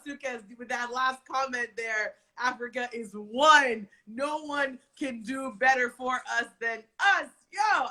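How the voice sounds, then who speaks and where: A woman's voice: fairly high pitch, sharp tone, rough recording. A woman hosting an online interview show, gushing and upbeat, with lots of "like" in her talk. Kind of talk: enthusiastic casual interview hosting.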